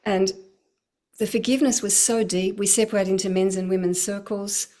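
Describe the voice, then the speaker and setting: Middle-aged woman, spiritual teacher; earnest, flowing delivery, mid-pitched, laughs easily. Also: steady tone, moderate pace, mellow. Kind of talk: casual spiritual talk.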